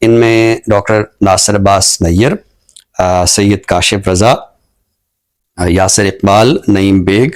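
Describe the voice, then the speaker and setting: Low-voiced Pakistani man, measured and scholarly. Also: fairly even intonation. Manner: measured, formal, scholarly, with pauses mid-sentence. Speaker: literary talk show guest and book reviewer. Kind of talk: unscripted book commentary and poetry recitation.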